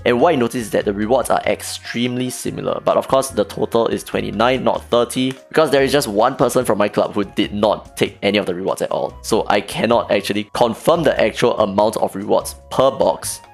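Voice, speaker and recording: A young man with a hoarse, worn-out voice, casually walking through game strategy numbers on a spreadsheet as a channel host. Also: on the low side, brisk, few pauses, soft background music. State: hoarse, losing his voice.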